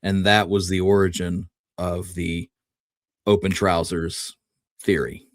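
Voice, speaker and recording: A man's voice: low voice, little pitch movement, slow. A man co-hosting a conversational history discussion, thinking aloud as he builds theories step by step. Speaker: podcast co-host.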